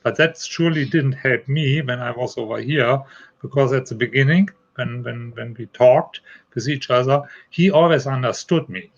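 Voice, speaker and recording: German man, heavy accent, patient explainer, lo-fi audio, mid-pitched. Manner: explains step by step, halting non-native phrasing. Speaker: guest. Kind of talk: casual chat. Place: Iowa.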